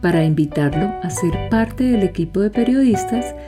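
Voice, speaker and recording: A woman's voice: medium pitch, lively intonation, normal speed. A woman reads scripted documentary narration as a podcast host. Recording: soft guitar music behind.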